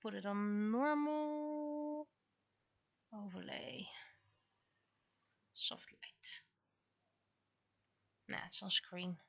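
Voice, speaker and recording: A woman's voice: fairly high pitch, animated, very slow, pauses often, no echo, lo-fi sound. A woman livestreaming as she draws, thinking aloud casually and muttering to herself as she works. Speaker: livestreaming webcomic artist. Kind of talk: casual livestream commentary while drawing.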